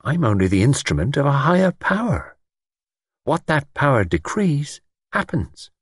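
A man acts out a pompous lord from an audiobook, pitched up and swinging theatrically. He is grand and condescending, with menace under every word, and his voice has a husky edge. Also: sing-song, moderate pace, no echo, studio quality. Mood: menacing grandeur. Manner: grandiose, condescending, softly threatening. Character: pompous, menacing lord convinced a higher power serves him. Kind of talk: audiobook character acting.

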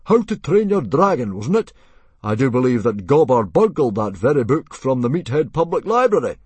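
A man playing a blustering Viking chief in a storybook, booming, pompous and emphatic. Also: sing-song, moderate pace, darkish tone, husky, dry recording. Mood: scornful, brusque.

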